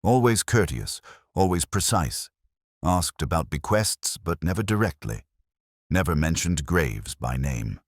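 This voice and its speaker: A man with an extremely deep voice narrates a literary detective story. His delivery is measured and brooding, built from deliberate short phrases with expressive swings in pitch. The sound is close and dry. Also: dry recording. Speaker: audio drama narrator.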